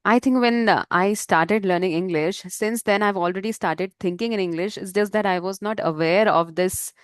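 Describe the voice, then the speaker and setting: Woman, podcast guest, reflective, measured, hesitant, mid pitch, dry sound. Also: clear, resonant tone, no room sound. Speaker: guest English expert. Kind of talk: podcast conversation.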